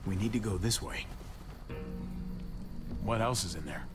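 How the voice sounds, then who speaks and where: A man playing a calm, earnest, plain-spoken survivor in a naturalistic video game drama. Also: fairly low pitch, unhurried, lightly acted. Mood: focused, practical. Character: level-headed, reassuring adult male survivor, quietly determined. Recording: music behind the voice.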